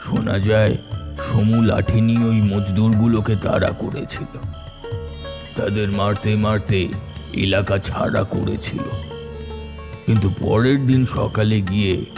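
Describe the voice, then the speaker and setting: A man narrating a scripted first-person story in a deliberate, suspenseful way. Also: fairly low pitch, huge pitch swings, vocal fry, over music.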